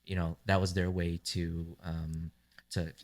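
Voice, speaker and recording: Deep-voiced American-born podcast host. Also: male voice, measured pace. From United States. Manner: relaxed questions, frequent "um" and "like". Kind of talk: casual interview chat.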